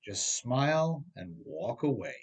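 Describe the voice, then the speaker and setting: A middle-aged man narrates a written opinion piece at an unhurried pace. His pitch sits in the middle, and he talks straight to the listener in a folksy, conversational way, often posing rhetorical questions. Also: sing-song, husky. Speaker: author reading own essay aloud.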